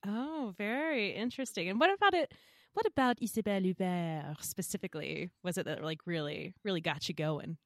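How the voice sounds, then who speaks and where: A woman's voice: mid-range voice, expressive, brisk, no room sound, clean studio sound. A woman hosting a podcast, chatting in a bubbly way and laughing often. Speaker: podcast host. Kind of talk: casual chat.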